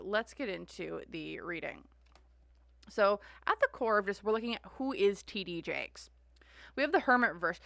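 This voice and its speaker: A woman's voice: medium pitch, creaky, a bit bright. A woman doing a casual tarot reading and talking in a loose, rambling way. She fills her sentences with "like" and "uh." Her voice is dry and close, with no room echo. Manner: rambling, heavy use of "like" and "uh". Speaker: tarot reader.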